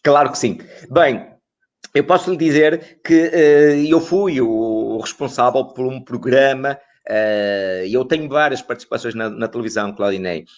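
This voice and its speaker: Man, middle-aged, mid-pitched voice, didactic, expert interview guest. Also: unhurried. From northern Portugal. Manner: didactic, rhetorical questions and repeated phrases. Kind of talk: explanatory interview talk.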